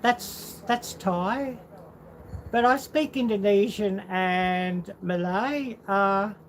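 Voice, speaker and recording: A woman's voice: mid-range voice, very slow, slight background noise, rough recording. A woman hosting a casual livestream, rambling and musing aloud as she answers viewers. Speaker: livestream host.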